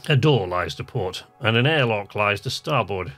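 A man's voice: on the low side, expressive, moderate pace, fairly bright tone, faint music behind. A man giving casual gameplay commentary, talking to himself and reading game text aloud in an easily amused, musing way. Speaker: gamer, video host.

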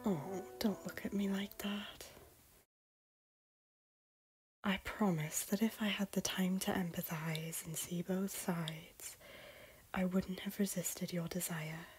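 A woman playing a solemn, wistful noblewoman in an animated drama, speaking in hushed, breathy, formal poetic phrases. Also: lowish voice, a little slow, lightly acted, poor audio. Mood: regretful, uneasy. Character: solemn, wistful noblewoman nursing lost love. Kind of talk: dramatic animated-film voice acting. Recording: music under the voice.

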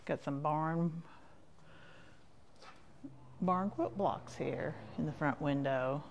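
A woman's voice: low voice, takes it slowly, vocal fry, muffled recording, a little hiss or hum. A woman giving a casual, chatty shop tour in short folksy remarks that trail off, recorded as she walks around indoors. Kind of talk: casual rambling tour commentary. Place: indoors, walking through a quilt shop.

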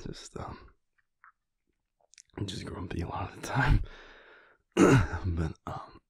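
A man plays a shy, endearingly grumpy werewolf boyfriend in an intimate audio roleplay. His voice is low and gravelly, slipping into a husky half-whisper. He speaks very slowly and haltingly, stumbling softly over his words. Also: sing-song, exaggerated voice. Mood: bashful, teasing. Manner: hesitant, stammering, murmuring.

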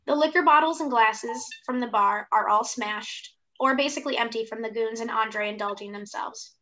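A woman's voice: a little high, normal speed, pushed and bright, rough recording. A woman in her twenties reading scripted stage directions for a play over a video call. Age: 20s. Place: room at home, indoors.

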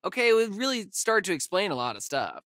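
A man's voice: sits high, huge pitch swings, fast talker, a bit bright, no echo, studio quality, exaggerated voice. A young man with an American accent acting a wisecracking, nervy camp counselor in a video game, with casual, hesitant delivery. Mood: insistent.

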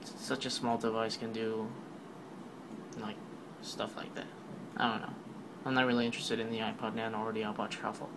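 Casual male narrator, monotone, rambling, noisy home voice-over. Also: on the low side, moderate pace. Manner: offhand, rambling, filler-heavy. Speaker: tech video narrator. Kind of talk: casual voice-over recap of a keynote.

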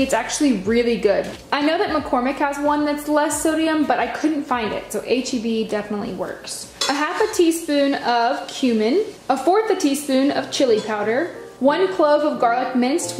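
High-voiced American woman in an echoey kitchen. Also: darkish tone. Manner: chatty, step-by-step instructing. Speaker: home cooking vlogger. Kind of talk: casual recipe demonstration. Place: home kitchen, indoors. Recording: echoey kitchen with music behind. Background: music.

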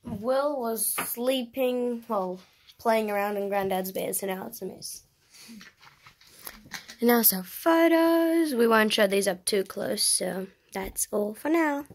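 A woman's voice: a little high, slow, slight background noise. A young girl helping lead a casual video tour of her home, chiming in to finish and correct her sibling's lines. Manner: chimes in to finish and correct the other speaker. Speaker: co-host of house tour. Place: inside a house.

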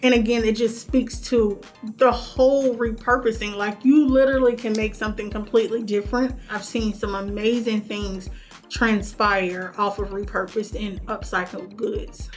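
A woman's voice: medium pitch, steady tone, normal speed, soft background music. A woman speaking casually as an influencer guest in an interview.

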